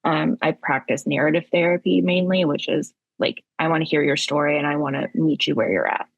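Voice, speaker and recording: A woman podcast co-host and therapist with a raspy, tired tone, talking candidly and haltingly in a casual chat. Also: low voice, dry recording, dull audio. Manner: candid, halting, many fillers.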